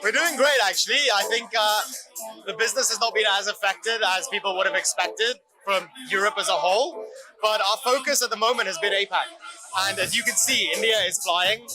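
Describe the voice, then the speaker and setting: Confident man over clear background music. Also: sits high, fairly quick, rough recording. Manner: confident, businesslike. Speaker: head of a London office. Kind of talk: business interview.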